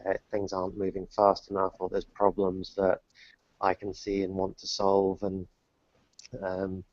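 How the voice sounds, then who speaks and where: A man's voice: low voice, completely flat delivery, unhurried, a little mellow. A man in his thirties who grew up in the UK, answering interview questions in a casual, hesitant way with many restarts. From New Zealand, grew up in the UK. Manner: hesitant, many restarts and fillers, self-deprecating. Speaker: guest entrepreneur. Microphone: remote call, dull lo-fi and dry. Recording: dull dry online call audio.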